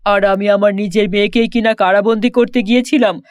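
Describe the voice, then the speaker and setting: A man's voice: high voice, fairly even intonation, brisk, no room sound, clean studio sound, natural voice. A man playing a remorseful king and doting father in a children's cartoon story, speaking earnestly and apologetically.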